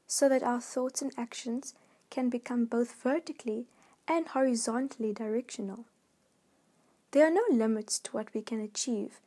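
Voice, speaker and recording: A woman reading a scripted devotional in a measured, earnest way. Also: on the high side, mellow, breathy edge. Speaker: devotional reader.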